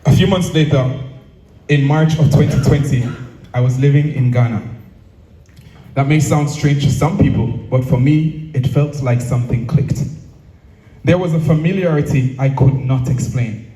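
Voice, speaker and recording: Male Jamaican orator, deliberate rhetorical delivery, deeply soft-edged warm tone, mid pitch, recorded in a reverberant venue. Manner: measured, rhetorical, deliberate phrasing with repetition. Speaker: association president giving a speech. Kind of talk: prepared public speech. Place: speaking at a live event, room echo. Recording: echoey venue, distant microphone.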